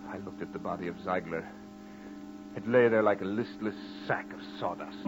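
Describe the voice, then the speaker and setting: An actor playing an anguished, feverish gambler turned murderer in a theatrical 1940s radio horror style, brooding and swinging between hushed and frantic. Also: male voice, on the low side, close to an everyday voice, rough recording. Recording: old radio recording with loud music.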